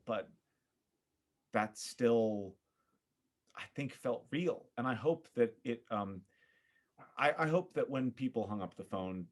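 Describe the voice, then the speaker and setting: Male theater director as interview guest, lower voice, earnest, reflective, halting phrasing.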